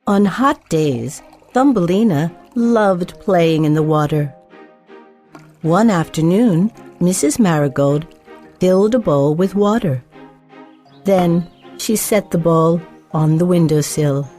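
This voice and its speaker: A woman narrates a fairy tale for young children in a fairly low, mellow voice. She takes it slowly with careful, measured phrasing, over light music. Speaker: storyteller. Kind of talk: scripted children's story narration.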